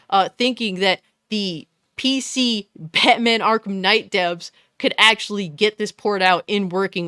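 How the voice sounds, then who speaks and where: A woman with a fairly deep voice giving a blunt, scornful, profane commentary rant. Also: a bit bright, no room sound, clean studio sound. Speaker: commentary YouTuber. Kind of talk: ranting commentary.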